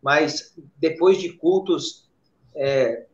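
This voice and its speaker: A man with a Brazilian accent, a pastor answering interview questions in a hesitant, halting way. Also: a little high. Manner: hesitant, halting, with repeated verbal tags. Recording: echoey, dull lo-fi interview audio.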